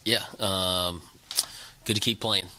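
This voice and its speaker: An American man, a head coach answering at a post-game press conference, halting with many ums and throat clears. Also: low voice, fairly even intonation, sharp tone.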